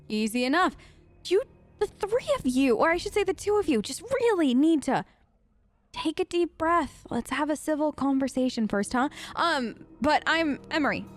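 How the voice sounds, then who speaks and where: A woman's voice: high-pitched, lively intonation, normal speed. A woman hosting a let's play, chatting casually and playfully while role-playing her character.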